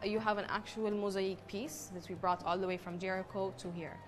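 A woman's voice: mid-range voice, steady tone, soft background music. Proud young woman from Palestine explaining. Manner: explanatory, proud tour-guide delivery with frequent pauses. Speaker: pavilion representative. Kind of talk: on-camera interview. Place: exhibition pavilion, indoors.

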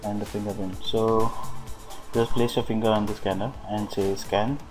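A man with a fairly low voice walks through a piece of software step by step. His delivery is very flat and somewhat halting, as if explaining unscripted. Music plays clearly behind him throughout. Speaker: software demonstrator. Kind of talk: unscripted screen-recorded software walkthrough.

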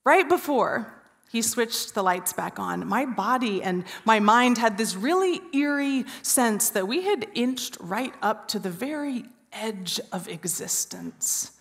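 A woman in her forties preaching an Easter sermon from a church stage, telling a story with hushed, drawn-out pauses. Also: mid-range voice. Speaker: pastor. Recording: reverberant church hall.

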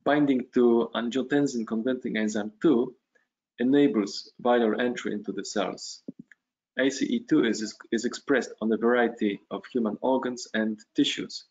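A male physician delivers a measured academic lecture, at a middle pitch. The sound is dull and lo-fi, like a video call. He pauses now and then on small fillers. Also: fairly even intonation, darkish tone, breathy edge.

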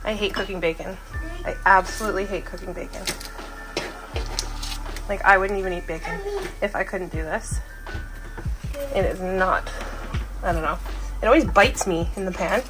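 A woman's voice: mid-range voice, moderate pace, pauses often, over music, rough recording. A woman speaking casually and chattily as she narrates a home cooking vlog in her kitchen. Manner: chatty, informal. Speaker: vlogger and mother.

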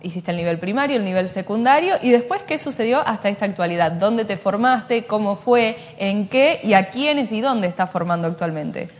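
A woman's voice: medium pitch, expressive, moderate pace, few pauses. Argentine co-host, woman, curious, studio reverb. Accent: Argentine Spanish.

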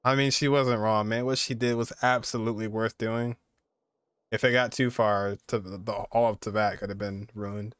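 A man's voice: lowish voice, flat delivery, moderate pace, fairly bright tone, clear, resonant tone, no room sound, studio quality. A young man in his twenties casually commenting as he reacts to a game, informal and emotionally invested. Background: game music.

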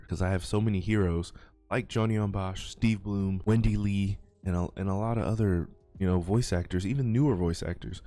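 A man's voice: low-pitched, mellow. A man, a YouTuber and aspiring voice actor, rambling casually while playing a game. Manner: rambling, chatty, self-deprecating. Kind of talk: casual gameplay commentary.

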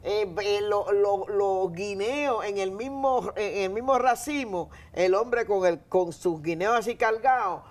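A woman's voice: medium pitch, a little slow, hardly any pauses. A woman in her fifties with a Dominican accent, hosting a casual studio interview, talking emphatically and turning often to address her audience. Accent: Dominican Spanish. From Dominican Republic. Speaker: talk show host. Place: TV studio set, seated in an armchair. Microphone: lapel mic.

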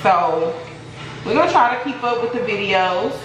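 A young American woman talks casually to a vlog camera with a colloquial, playfully chiding manner. Her medium-pitched voice sounds a little off the mic, in a very echoey kitchen with clear background noise. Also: animated, normal speed, recorded from a distance. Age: young adult. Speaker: vlog co-host and home cook. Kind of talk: casual vlog chat with bantering partner. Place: home kitchen, indoors. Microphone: camera or phone a few feet away.

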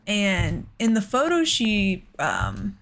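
A woman's voice: mid-range voice, huge pitch swings, takes it slowly, fairly bright tone. A woman giving casual let's play commentary, thinking aloud in an exasperated way. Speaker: gaming host.

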